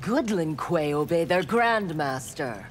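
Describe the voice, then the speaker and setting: A cold, ruthless young ninja warrior in a fighting game. Her delivery is slow, clipped and haughty, with a faint music bed underneath. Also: sits low, expressive. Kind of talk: video game dialogue.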